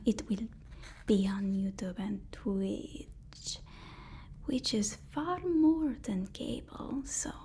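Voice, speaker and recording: A woman playing a devoted, anxious assistant to a supervillain in an ASMR roleplay, whispering in a halting, soothing, deferential way. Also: fairly high pitch, darkish tone. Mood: nervous reassurance.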